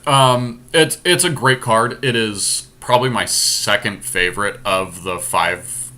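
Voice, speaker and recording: A man's voice: fairly low pitch, a little slow, pushed and bright. A man casually thinking aloud as he co-hosts a card draft, explaining his picks analytically. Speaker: podcast host and card game drafter. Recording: faint noise, amateur screen-capture recording.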